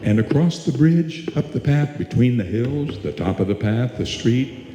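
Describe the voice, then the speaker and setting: A man playing an ominous narrator in a live old-time radio suspense play, with deliberate, drawn-out phrasing. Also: medium pitch, animated, close to an everyday voice. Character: ominous, menacing male narrator of a suspense tale. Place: live stage performance in a hall. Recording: echoey live hall, music behind.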